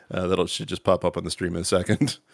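Deep-voiced man rambling through announcements. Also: creaky, no room sound, clean studio sound. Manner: rambling, many fillers and restarts. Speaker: livestream host. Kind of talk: casual livestream announcement.

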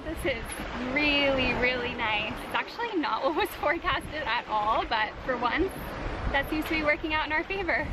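A woman's voice: sits high, lively intonation, moderate pace. A young woman co-hosting a sailing vlog, chatting cheerfully to the camera while out at sea. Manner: cheerful, light, laughing. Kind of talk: casual chat to camera. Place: on a sailboat at sea, outdoors. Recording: wind noise at sea.

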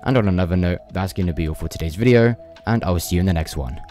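A man's voice: low voice, racing, mellow, soft background music. A young man hosting a gaming video, narrating update notes in an informal, loosely worded way. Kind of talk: casual patch-notes narration.

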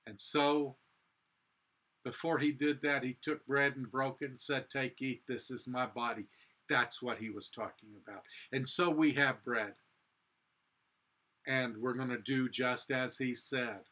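Slow, bright-voiced older male pastor. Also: mid-range voice, steady tone. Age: 60s. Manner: earnest, deliberate, with short measured phrases. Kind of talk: sermon and prayer. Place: indoor room with a cross, small chapel or office.

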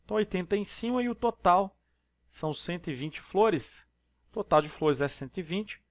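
Brazilian male math tutor, slightly high voice, dry close voice-over, patient step-by-step explainer. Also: lively intonation, moderate pace, no room sound. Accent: Brazilian Portuguese. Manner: explanatory, step-by-step, frequent tag questions. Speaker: math teacher. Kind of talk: exam problem walkthrough. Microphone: voice-over on screen recording.

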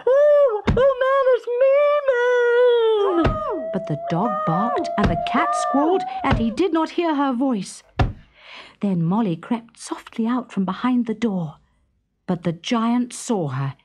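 A woman narrating a children's story in a dramatic, expressive, suspenseful storybook style. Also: fairly high pitch, unhurried, very noisy.

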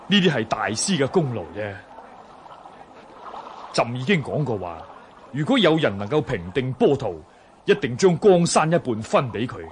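An actor playing a lordly, generous Chinese emperor in a radio drama, with a stately, commanding manner. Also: male voice, medium pitch, very animated, moderate pace, long pauses, slight background noise, lightly acted. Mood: grateful, pleased. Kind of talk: Cantonese radio drama acting.

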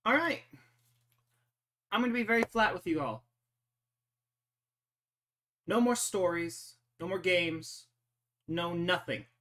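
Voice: mid-range voice, takes it slowly, pauses often, bright, forceful tone. Game master voicing blunt, fed-up murder mystery character. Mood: frustrated, pleading. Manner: blunt, earnest.

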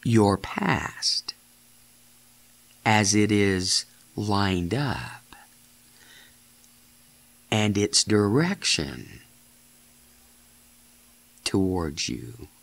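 A man leading a guided meditation in deliberate, halting phrases, giving gentle step-by-step instructions as a narrator of guided imagery. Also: fairly low pitch, big pitch swings, gravelly.

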